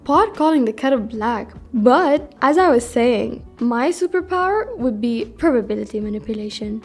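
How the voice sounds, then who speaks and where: A woman's voice: fairly high pitch, animated, normal speed, soft background music. A woman playing a nerdy, youthful teenage girl in conversational audio drama dialogue. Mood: smug, playful. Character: nerdy, cocky teenage girl chatting with online friend.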